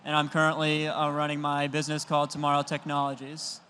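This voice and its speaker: A young man with a bright, tense voice introduces himself on a conference stage. His pitch stays flat and measured. Faint room noise lies behind. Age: young adult. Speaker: panelist, student entrepreneur.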